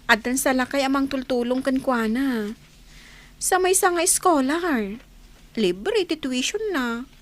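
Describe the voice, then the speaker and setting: Woman as worried young villager, high voice, earnest, plaintive, Ilocano accent, radio drama. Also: takes it slowly. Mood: explaining. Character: worried young village companion waiting at the hospital.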